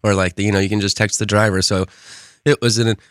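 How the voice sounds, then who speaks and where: Chatty lower-voiced man, co-hosting. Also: level tone, a little fast, fairly bright tone, no room sound, studio quality. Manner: chatty, stumbles over words. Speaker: podcast co-host. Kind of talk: casual podcast chat.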